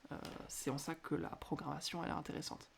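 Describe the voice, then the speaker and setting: Man with a stuffy nose, gravelly, slightly high voice, quiet hesitant podcast chat, faint hiss. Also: fairly even intonation, fast. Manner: hesitant, many filler sounds, speaks quietly. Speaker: podcast host. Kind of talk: casual solo podcast advice. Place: indoors at home at night.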